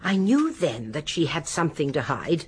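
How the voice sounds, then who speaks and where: An actress playing a shrewd, genteel elderly English spinster sleuth in a radio drama, precise and politely firm. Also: female voice, sits low, very animated, normal speed, slight background noise. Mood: knowing, brisk. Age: older. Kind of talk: radio drama acting and narration.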